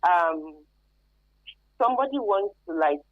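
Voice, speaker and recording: Animated Nigerian woman, muffled radio sound. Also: lowish voice, slow pace, no echo. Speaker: radio guest, anti-trafficking expert. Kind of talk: informal explanatory interview answers.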